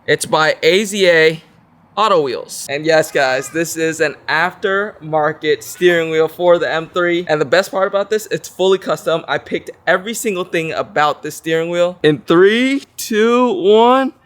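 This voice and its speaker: A young man talking casually to camera in a garage, excited and slangy as he shows off a car. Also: a little high, unhurried. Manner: excited, slangy, hypes things up. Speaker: car vlogger. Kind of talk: casual vlog talk to camera.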